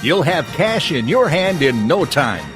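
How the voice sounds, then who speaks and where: Animated male ad announcer over music. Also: on the high side, unhurried. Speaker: commercial announcer. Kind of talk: scripted sales pitch. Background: music bed.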